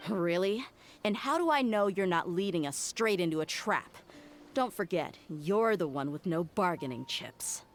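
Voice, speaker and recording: A woman's voice: on the low side, lively intonation, normal speed, slight background noise, natural voice. An actress voicing a blunt, tough female desert mercenary who gives orders and makes threats in a video game. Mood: stern. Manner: commanding, threatening. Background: game music.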